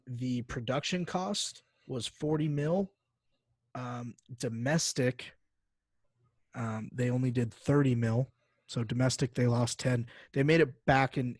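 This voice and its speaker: A man's voice: mid-range voice, a little mellow, no room sound. A man co-hosting a podcast in casual, slangy chat, with frequent fillers as he talks through lists and figures. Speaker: podcast co-host.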